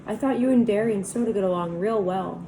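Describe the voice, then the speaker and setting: A woman voicing a quiet, hurt teenage boy who speaks softly. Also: medium pitch, fast, slight background noise, natural voice, rough recording. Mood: subdued. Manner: soft-spoken.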